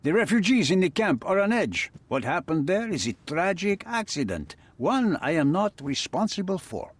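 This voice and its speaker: A man's voice: sits high, very animated, normal speed. A man voicing a cold, threatening crime boss and smuggler in video game cutscene dialogue. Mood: defensive. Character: menacing male crime boss, smuggler and cutthroat.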